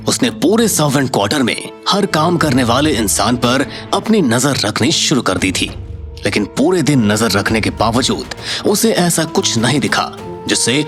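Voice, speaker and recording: Guy narrating a dramatic audio story, very expressive, music behind him. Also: mid-range voice, moderate pace.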